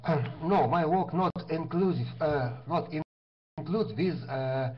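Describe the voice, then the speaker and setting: A man with a non-native English accent, a learner giving halting answers in an online class. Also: fairly high pitch. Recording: dull online call with faint noise.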